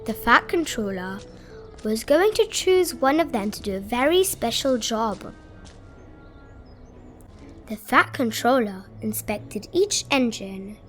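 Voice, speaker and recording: Slow, animated woman reading a children's storybook. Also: fairly high pitch, faint music behind. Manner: expressive, deliberate storytelling. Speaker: children's read-aloud host.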